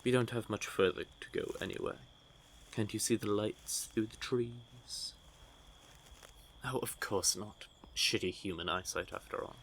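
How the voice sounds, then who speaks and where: Man acting as a hungry male vampire, lowish pitch, teasing and smug, flirty menace, intimate audio drama, noisy ambient backdrop. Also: a little slow, fairly bright tone. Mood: irritated. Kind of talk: audio roleplay, speaking intimately to one listener. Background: outdoor night forest ambience effects.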